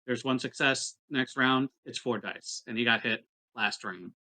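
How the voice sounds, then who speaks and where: A man's voice: medium pitch, unhurried, sharp tone. A man playing a tabletop game, explaining rules in a casual, matter-of-fact way.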